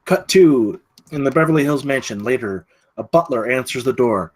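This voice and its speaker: Man, medium pitch, narrator, screenplay stage directions, table read. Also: expressive, moderate pace.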